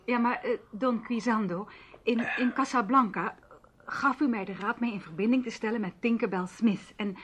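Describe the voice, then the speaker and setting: A woman's voice: a little high, normal speed, breathy edge. A woman acting an earnest, anxious sister in an old Dutch radio drama, hesitant but insistent, often repeating words. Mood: insistent, puzzled. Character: earnest, anxious sister questioning her brother.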